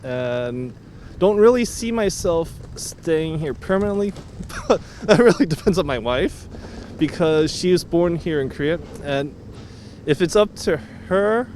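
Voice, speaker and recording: American guy, easygoing answers, street noise faint, slightly high. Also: animated, normal speed, a little mellow. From United States. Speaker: interviewee. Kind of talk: casual answers.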